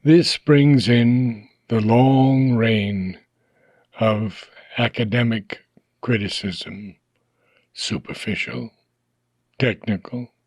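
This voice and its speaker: Slow, measured male audiobook reader. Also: medium pitch, darkish tone, no echo. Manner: slow phrase-by-phrase delivery, trailing off at phrase ends. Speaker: reader of a philosophy book.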